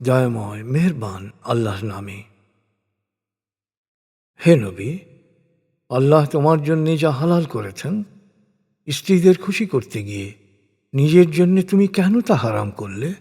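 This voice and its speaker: A man reading a scripture translation as a solemn scripted narration, in measured, formal phrases that fall at the ends. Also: medium pitch, lively intonation, mellow, husky. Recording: long hall-like reverb.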